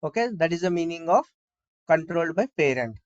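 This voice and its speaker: A man giving an informal step-by-step software tutorial, often asking and answering his own questions as he explains. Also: a little high, lively intonation, a little fast, no echo, dull audio. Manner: repetitive explaining with rhetorical questions.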